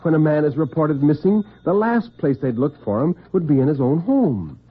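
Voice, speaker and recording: Husky male suspense narrator on tinny old radio. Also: a little high, big pitch swings. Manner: deliberate, literary, intense. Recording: tinny, band-limited vintage radio recording.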